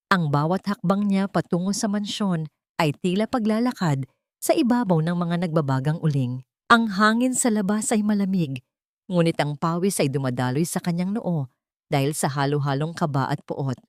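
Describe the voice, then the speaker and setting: Low-voiced woman narrating a drama. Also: lively intonation, no echo, studio quality. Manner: dramatic, deliberate storytelling. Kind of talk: scripted dramatic story narration.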